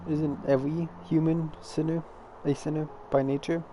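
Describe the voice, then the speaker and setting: A man's voice: fairly high pitch, flat delivery, normal speed, mellow, a little hiss or hum, poor audio. A man giving casual play-along commentary as he streams a story game.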